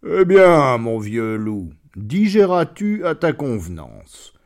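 A man voicing a mighty, scheming male lion who rules as judge, booming and mocking. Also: big pitch swings, slow. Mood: commanding.

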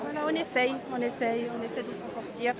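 High-voiced woman in her forties outdoors. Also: fairly even intonation, a little fast. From Tunisia. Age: 40s. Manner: earnest, repeats words and restarts often. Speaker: undocumented migrant interviewee. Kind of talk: street interview at a march. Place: outdoors at a street march. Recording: crowd noise outdoors. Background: crowd.

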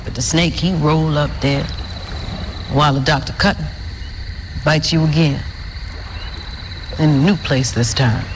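An actress playing a resolute abolitionist woman in a period film, speaking in measured, solemn oratory. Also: deep voice, fairly even intonation, noisy background. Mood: grave warning. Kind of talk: period film drama speech.

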